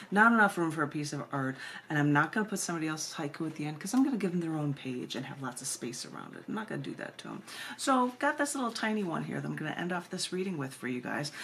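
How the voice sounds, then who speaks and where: A woman with a very low-pitched voice reads as a poet would on a casual livestream. Her delivery is informal and conversational, open to asides. The amateur camera recording carries some faint background noise. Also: gravelly, fairly bright tone. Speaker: poet giving a reading. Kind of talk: conversational poetry reading on a livestream. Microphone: compact camera microphone.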